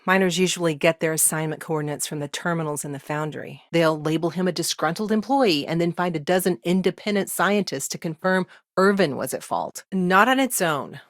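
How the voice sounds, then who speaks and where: A woman playing a busy, dry-witted professional lawyer in a sci-fi video game, speaking in a measured, articulate way. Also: on the low side, no room sound, lightly acted. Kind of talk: scripted game dialogue.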